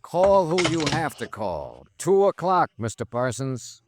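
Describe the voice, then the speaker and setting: An actor playing a tough, pushy insurance investigator in an old-time radio drama, with a blunt, clipped American delivery. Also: male voice, a little high, very animated, unhurried, background noise. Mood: commanding. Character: tough, brusque male insurance investigator, hard-boiled and pushy.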